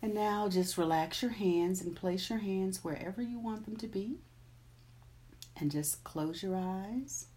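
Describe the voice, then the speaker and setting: A woman's voice: medium pitch, unhurried, darkish tone. A woman guiding a breathing meditation, counting breaths and leading affirmations in a soothing manner. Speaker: meditation guide.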